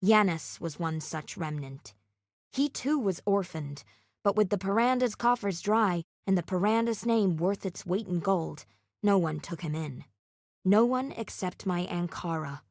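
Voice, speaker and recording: An actress playing a solemn, vengeful assassin, last of a secret order, in a video game, speaking in a measured, formal, grave way. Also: female voice, on the low side, expressive, a little mellow, no room sound, clean studio sound. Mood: bitter, vengeful. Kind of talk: scripted video game character dialogue.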